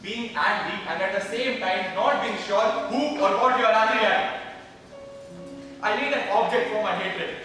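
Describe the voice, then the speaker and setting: A man's voice: high voice, moderate pace. Man playing an emotional older brother, echoey distant hall. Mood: anguished anger. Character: angry grieving older brother of a comatose teen. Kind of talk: theatrical stage acting. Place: stage in a large hall. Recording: distant mic in echoey hall, loud music.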